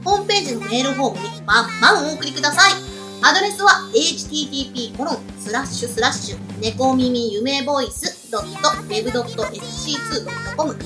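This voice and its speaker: A woman's voice: sits high, lively intonation, fast talker. A woman hosting an amateur web radio show, moving between casual banter and polite announcements. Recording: music behind the voice.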